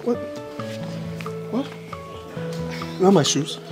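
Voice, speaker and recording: A man's voice: high voice, animated, takes it slowly, over music. An actor playing a confused older male relative, who keeps asking questions. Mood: bewildered. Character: bewildered older male relative, the hero's brother.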